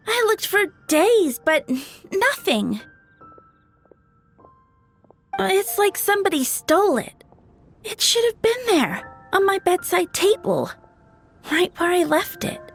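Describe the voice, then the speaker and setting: A woman's voice: extremely high pitch, big pitch swings, unhurried, soft background music, heavily acted. A woman voicing a timid young flower shop girl in a video game, sweet, eager to please and easily flustered. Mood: distressed. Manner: nervous, sweet, stammering when flustered.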